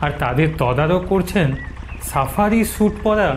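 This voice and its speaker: A man narrating a horror story in the first person, dramatic and suspenseful, with deliberate pauses. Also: high-pitched, animated, slight background noise. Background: music under the narration.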